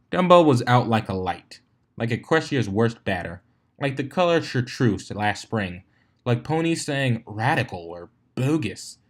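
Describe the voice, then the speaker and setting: A man narrating a written story as a fan fiction reader, now and then stumbling and rereading a phrase. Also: mid-range voice. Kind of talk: scripted story narration with character dialogue.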